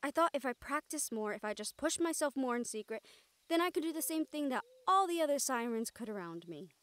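A woman's voice: high voice, expressive, a little fast, a bit bright, no echo. A woman playing an exasperated but caring siren in an audio roleplay, earnest and sighing, with Spanish endearments. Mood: concerned, earnest. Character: female siren-banshee, exasperated but caring partner and team mate.

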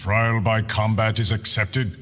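Man doing a formal proud warrior boss in a game, lowish voice, real noisy behind. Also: flat delivery, a little slow, lightly acted. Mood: proud, measured. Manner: stately, formal speech. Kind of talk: video game dramatic voice acting.

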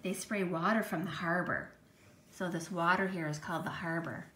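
A woman's voice: on the low side, fairly even intonation, moderate pace, a bit bright, slight background noise. A woman teacher reading a picture book to young children, speaking in an emphatic, child-directed way with questioning asides. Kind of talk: read-aloud storytime with explanatory asides.

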